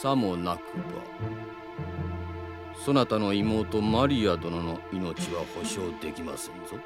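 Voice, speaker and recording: An actor playing a haughty, scheming middle-aged general in a radio drama, speaking in formal, condescending courtly language. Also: male voice, low-pitched, unhurried, over loud music. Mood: threatening.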